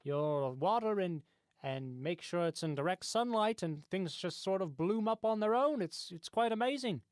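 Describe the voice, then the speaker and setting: A man's voice: high voice, lively intonation, moderate pace, no echo, studio quality. A man playing a chatty, eccentric market farmer in a radio comedy sketch, rambling in a folksy, matter-of-fact way. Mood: secretive, matter-of-fact.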